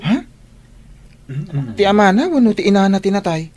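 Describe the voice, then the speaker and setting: A man's voice: high-pitched, expressive, moderate pace, darkish tone. Frank, encouraging schoolboy friend, acted by a man. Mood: surprised, concerned. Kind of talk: Ilocano radio drama acting.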